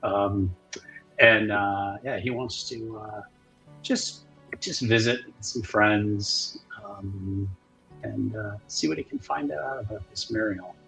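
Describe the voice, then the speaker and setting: A man narrating his character's moves in a tabletop roleplaying game, casually and with hesitant pauses. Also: on the low side, vocal fry, pushed and bright, soft background music, low-quality recording.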